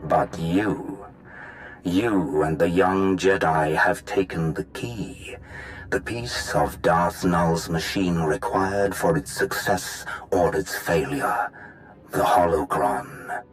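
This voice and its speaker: Sci-fi game villain: commanding, menacing dark lord, very low register, unhurried ominous delivery. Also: male voice, rough recording. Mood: cold, ominous. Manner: measured, ominous, formal monologue. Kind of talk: video game villain acting.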